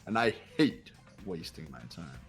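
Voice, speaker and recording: Man voicing arrogant young elite heir, low, animated delivery, formal, condescending, game character. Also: a little slow, soft background music. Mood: haughty, cold. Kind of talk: amateur voiced video game playthrough.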